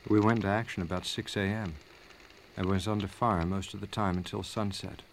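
Casting: a proud Union army officer, lower register, measured and emphatic battle report, slight background noise. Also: male voice, steady tone. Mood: candid. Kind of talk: dramatic reading of a historical battle report.

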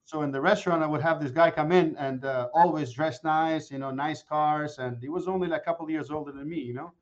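A man in his forties, a guest mortgage broker, chatting casually in an interview and telling animated stories with many restarts. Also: fairly high pitch, brisk, muffled recording. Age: 40s. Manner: animated storyteller with frequent restarts.

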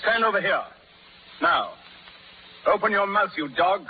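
A man's voice: sits high, measured pace, a little hiss or hum. A man playing a harsh, contemptuous plantation colonel who barks orders in a 1930s radio drama. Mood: angry. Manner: barking, imperious orders. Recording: muffled old radio recording, hall sound.

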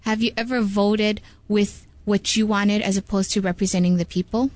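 A woman's voice: medium pitch, fairly even intonation, moderate pace, darkish tone, no room sound. Woman hosting radio, close dry sound. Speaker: radio show host.

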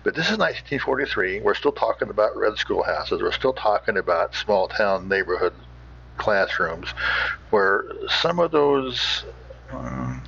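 A man hosting a podcast, giving casual off-the-cuff commentary in a halting, musing way. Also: lowish voice, big pitch swings, creaky, a bit bright, slight background noise. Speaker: podcast host. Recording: slightly muffled sound.

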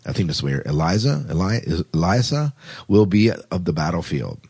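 Low-voiced man, slightly husky. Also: very animated, normal speed, darkish tone. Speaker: podcast host. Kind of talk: casual podcast talk, reading news items.

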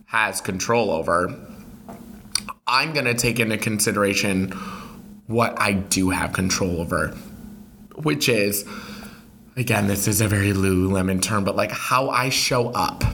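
A man co-hosting a casual podcast with a raspy voice, animatedly explaining ideas and acting out quotes. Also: lowish voice, sharp tone. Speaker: podcast co-host.

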